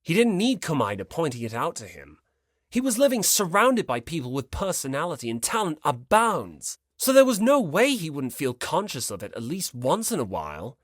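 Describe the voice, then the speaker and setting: An audiobook narrator reading a scripted story in an expressive, dramatic way. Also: medium pitch, moderate pace, dry recording, studio quality.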